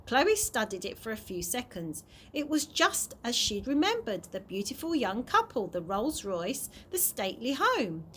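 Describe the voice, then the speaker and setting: A woman's voice: fairly high pitch, big pitch swings, normal speed, hardly any pauses, a bit bright, slight background noise. A woman narrating a children's chapter book aloud as a storyteller. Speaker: early years practitioner reading stories to children.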